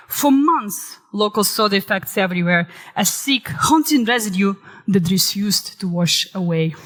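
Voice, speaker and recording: A woman, recovering from illness and saving her voice, giving a lively conference talk that shifts into dramatic storytelling. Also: mid-range voice. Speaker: conference speaker. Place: conference hall. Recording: reverberant hall.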